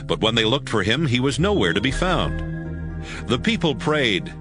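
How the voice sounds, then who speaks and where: A man narrating a dramatized audio Bible in a measured, expressive storytelling manner. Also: mid-range voice. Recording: faint music behind the voice.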